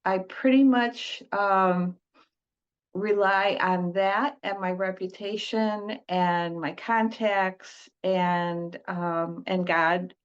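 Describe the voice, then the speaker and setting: Slow, reflective woman on dull audio. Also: lowish voice. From Detroit, USA. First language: Italian. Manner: unhurried, reflective, with frequent pauses. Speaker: guest, healing practitioner. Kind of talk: casual interview conversation.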